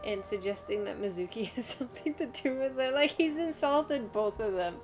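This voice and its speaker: A woman's voice: a little high, lively intonation, normal speed, darkish tone, faint music behind. A woman chatting casually as a video game streamer, musing and often letting thoughts trail off.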